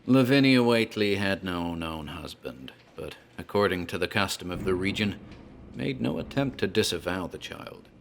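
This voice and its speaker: An actor playing a talkative tavern landlord who tells a grim tale in a deliberate, theatrical horror drama style. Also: male voice, sits low, expressive, a little hiss or hum. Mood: ominous storytelling. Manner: deliberate, theatrical storyteller, literary wording. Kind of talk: dramatized horror storytelling, scripted narration.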